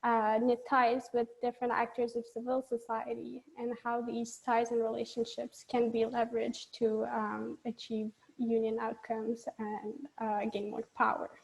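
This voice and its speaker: A woman's voice: on the high side, monotone, normal speed, creaky, few pauses, poor audio. A woman introducing her research as a panelist, hesitant with many fillers.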